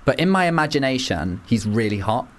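A young man runs a casual podcast quiz with a lively, swooping voice. He teases his guests and laughs easily. There is a faint hiss of background noise. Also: mid-range voice, brisk. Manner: playful, teasing, laughs often. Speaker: podcast host. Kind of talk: casual chat, quiz game.

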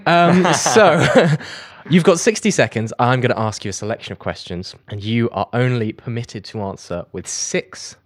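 A man's voice: mid-range voice, animated, normal speed, no room sound, studio quality. A man hosting a light-hearted radio comedy interview, with a playful, teasing manner. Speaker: radio host interviewing a guest.

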